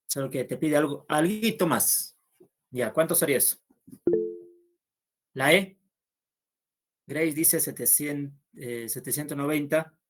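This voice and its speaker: Male math teacher, unhurried and patient, talks students through each step. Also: fairly high pitch. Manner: slow and patient, checks understanding with "¿no?". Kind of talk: online math class, solving problems aloud.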